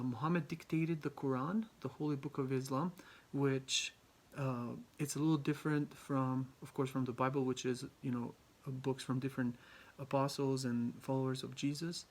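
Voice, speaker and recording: A young man from Albania giving a class presentation, halting often with ums and restarts. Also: mid-range voice. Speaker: student presenter.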